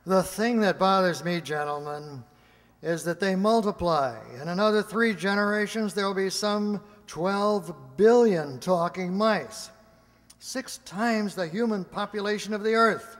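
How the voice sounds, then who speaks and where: An earnest, harried official, acted by a man, with a high male voice. He delivers his reports deliberately in radio-play style, in a hall with long reverb. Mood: worried. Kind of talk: comic science-fiction radio play acting.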